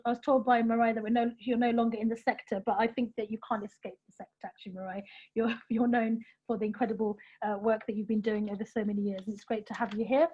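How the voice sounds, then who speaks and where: A woman hosting an online panel discussion, introducing the speakers in an earnest, measured way with frequent fillers, over a video call. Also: fairly high pitch, darkish tone, muffled recording. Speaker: panel chair and host.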